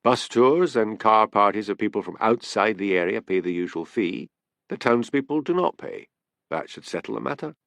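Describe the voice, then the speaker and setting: A man voicing a proud, easily affronted policeman with a Scottish Highland accent, stiff and emphatic, in an audiobook story. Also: lively intonation, normal speed, creaky, fairly bright tone, no echo. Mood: practical.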